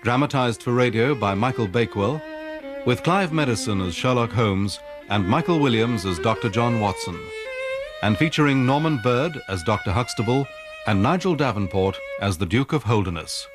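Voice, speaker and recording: A man's voice: mid-range voice, expressive, moderate pace. A man reading scripted credits as the announcer of a radio drama. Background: violin music.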